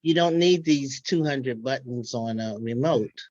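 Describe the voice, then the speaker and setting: A woman's voice: extremely low pitch, a little slow, darkish tone, no room sound. An older woman casually telling her recollections as a participant in a panel discussion, heard over a call. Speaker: workshop participant and writer. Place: remote call-in to online event. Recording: muffled, band-limited call audio.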